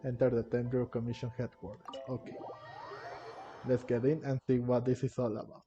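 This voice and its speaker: A man giving casual gameplay commentary, halting and often repeating or rephrasing himself. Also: mid-range voice, level tone, dark tone. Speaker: gamer narrating a playthrough. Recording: muffled lo-fi mic, game music behind. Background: video game music.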